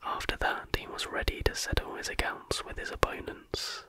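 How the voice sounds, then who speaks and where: A person reading a factual text aloud in an unvoiced, breathy whisper, in a careful, measured ASMR style. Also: pushed and bright, no room sound. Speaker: reader.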